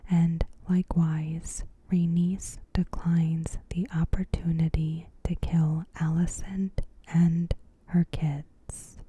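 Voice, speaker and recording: A woman softly whispering a scripted recap in a gentle, measured, breathy ASMR style. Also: on the low side, a little hiss or hum. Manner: gentle, measured, careful phrasing. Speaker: ASMR host.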